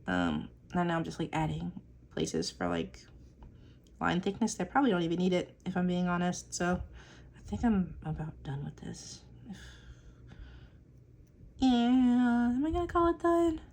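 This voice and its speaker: A woman's voice: fairly low pitch, expressive, unhurried, pauses often, darkish tone. A young woman talking casually and rambling on tangents while she inks a drawing, swearing now and then and filling gaps with "like" and "um.". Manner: chatty, rambling, swears casually, many fillers. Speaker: artist vlogger.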